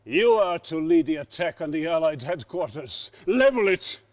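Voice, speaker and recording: An actor playing a menacing, imperious Soviet dictator with a Russian accent and a commanding, theatrical delivery in a video game cutscene. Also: male voice, a little high, very animated, normal speed.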